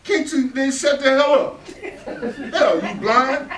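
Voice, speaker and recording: A man's voice: extremely high pitch, slow pace, a bit bright, full character voice. An actor playing a stern, bitter, domineering father in a staged play reading. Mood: irritated. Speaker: actor in a readers theatre play. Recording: roomy stage pickup from far off.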